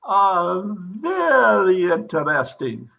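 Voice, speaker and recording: A self-important, excitable radio-comedy doctor acted by a man. His theatrical voice is bright and forceful, sitting high and swinging widely as he pronounces. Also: extremely slow, creaky, full character voice. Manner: pompous, theatrical. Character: pompous, overexcitable male psychiatrist in a radio comedy. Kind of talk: old-time radio comedy acting.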